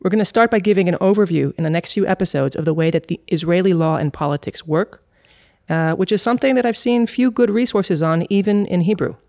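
A woman's voice: lowish voice, quick pace, mellow, no room sound, clean studio sound. A woman hosting a podcast, with a polished, articulate delivery. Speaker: podcast host. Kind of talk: scripted podcast intro and moderating.

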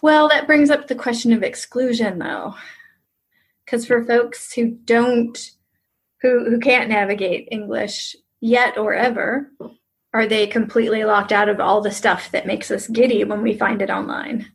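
A woman hosting a casual interview, chatty and quick to chime in with agreement. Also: a little high, steady tone, creaky, husky. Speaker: interviewer, host.

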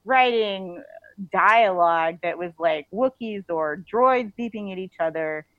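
A woman author talking casually as a podcast guest, halting and chatty, often saying like. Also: mid-range voice, dry recording. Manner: halting and chatty, frequently says like. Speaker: guest author and audio drama writer. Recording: dull lo-fi audio.

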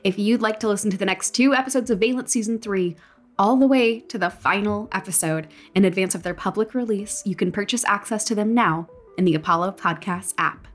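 A woman's voice: medium pitch, brisk, soft background music. A woman announcer reading scripted podcast narration.

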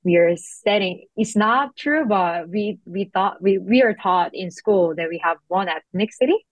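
A woman's voice: medium pitch, lively intonation, a little slow, no room sound. A young woman from South Korea, a guest in an interview, speaking thoughtfully and reflectively with frequent fillers. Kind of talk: interview conversation.

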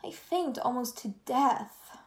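A woman's voice: on the high side, lively intonation, slow pace, a little mellow. A woman playing a genteel, travel-weary young noblewoman in a Shakespeare radio play. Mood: weary, pleading.

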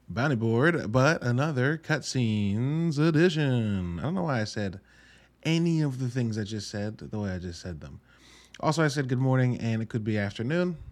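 A man hosting a podcast solo, talking through a movie review in a wry, conversational way with emphatic asides. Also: mid-range voice. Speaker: podcast host.

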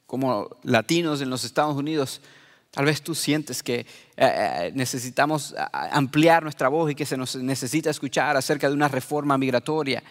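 A man's voice: mid-range voice, moderate pace, big-room sound. A middle-aged man from Venezuela preaching a sermon to his congregation, earnest and personal in tone. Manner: earnest, addresses listeners as beloved. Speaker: pastor.

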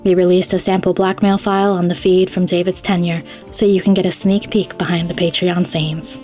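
A woman's voice: lowish voice, little pitch movement, a little fast, warm tone, faint music behind. A woman hosting a podcast, reading a scripted introduction to an audio fiction story. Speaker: podcast host.

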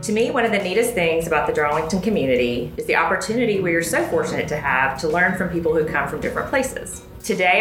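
A woman's voice: on the low side, fairly bright tone. An American woman hosting a conversational interview podcast as a school dean, recorded in an echoey room. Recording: roomy echo, clear background noise.

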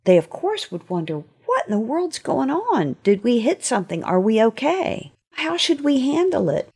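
Slightly husky woman giving a lesson. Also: on the high side, sing-song, normal speed, darkish tone. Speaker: author giving a short lesson. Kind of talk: gentle devotional talk to children.

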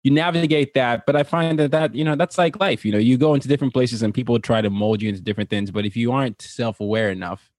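A man from Nigeria, raised across several countries, speaking as an interview guest in casual, personal storytelling with an animated manner. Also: mid-range voice, fast talker, no echo, clean studio sound. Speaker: interview guest, cultural translator and consultant.

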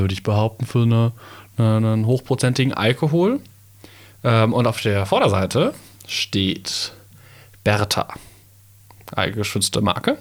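A young man co-hosting a casual podcast, slowly and haltingly reading a bottle label aloud with many fillers and playful asides. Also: fairly low pitch. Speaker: podcast co-host.

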